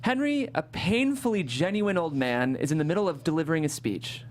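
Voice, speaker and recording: A woman's voice: sits low, animated, brisk. A woman hosting a panel and reading out script narration. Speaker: host and narrator.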